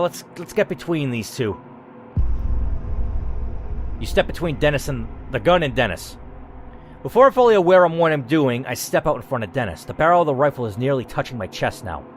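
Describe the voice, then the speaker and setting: A man's voice: medium pitch, slight background noise. A man hosting a gaming video, narrating a text adventure theatrically, with casual, blunt, sweary asides.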